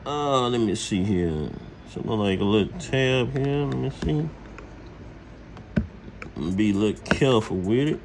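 A man's voice: medium pitch, animated, measured pace, gravelly, pauses often, background noise. An American man giving a casual hands-on how-to, folksy and thinking aloud as he works. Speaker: host, car mechanic. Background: music.

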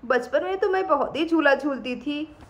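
Woman voicing an excitable high-pitched young aunt. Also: moderate pace, lo-fi sound, close to an everyday voice. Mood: excited. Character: proud, excitable young aunt who cooks.

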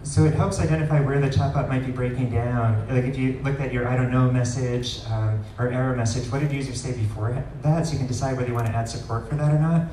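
Dark-voiced man presenting in a roomy space. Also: medium pitch, steady tone, fast, hardly any pauses. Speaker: presenter demonstrating software. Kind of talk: live product demo talk. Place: large wood-ceilinged meetup room, indoors. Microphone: far camera recording the room. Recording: roomy, distant pickup with faint noise.

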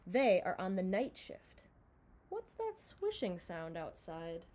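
A young woman reading a children's picture book aloud in an expressive, dramatized way, recorded in a room at home. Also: on the low side, takes it slowly, slight background noise. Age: young adult. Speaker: storybook reader.

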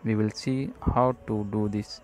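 Slow, monotone man presenting a software demo. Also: fairly low pitch. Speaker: tutorial presenter. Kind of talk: scripted software demo narration. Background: music playing behind the voice.

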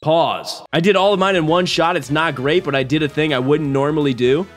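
A young man in his twenties hosting a stream, reacting to clips with excited, laughing commentary from a small home room. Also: fairly high pitch, brisk, soft background music. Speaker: streamer host. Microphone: boom mic on arm.

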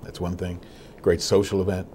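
A middle-aged man answering interview questions in a small TV studio, telling stories quickly with frequent restarts. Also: low-pitched, completely flat delivery, dark tone, a little hiss or hum. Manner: quick, storytelling, many restarts. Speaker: racetrack communications executive.

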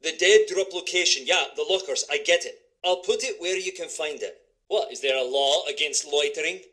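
A man's voice: fairly high pitch, normal speed, bright, forceful tone. An actor playing an edgy, secretive man in a video game, restless and terse. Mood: tense, irritated. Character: edgy, secretive man working as reluctant informant.

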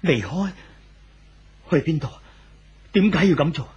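A man acting an anxious, helpless husband in a 1970s radio drama, halting and imploring, in Hong Kong Cantonese. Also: on the high side, animated, darkish tone, breathy edge, muffled recording, full character voice. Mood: alarmed.

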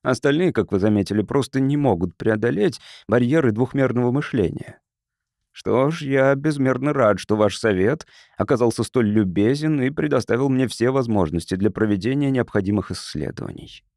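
A man's voice: mid-range voice, expressive, moderate pace, darkish tone, dry recording, clean studio sound, natural voice. A man voicing a courteous, curious visiting sociologist in an audiobook, polite and inquisitive. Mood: impressed, courteous. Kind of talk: audiobook character reading.

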